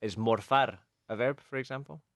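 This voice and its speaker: A man co-hosting a casual bilingual football podcast, chatty and quick to laugh, with lots of hesitant restarts. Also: mid-range voice, steady tone, fairly bright tone, no room sound, clean studio sound. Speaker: co-host teaching English.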